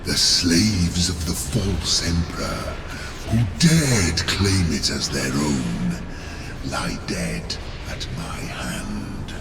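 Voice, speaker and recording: Game villain: grandiose chaos champion, deep gravelly voice, very slow menacing delivery with big swoops, under loud music. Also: male voice, animated, exaggerated voice. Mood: solemn, menacing. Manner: slow, theatrical, menacing proclamations. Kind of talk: video game villain acting. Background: loud game soundtrack music.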